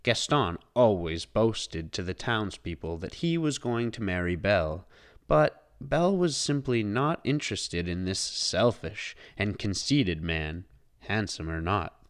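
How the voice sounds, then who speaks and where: Slow, highly animated male storybook narrator. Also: smooth. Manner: expressive storytelling. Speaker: read-aloud narrator. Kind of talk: scripted children's storybook narration.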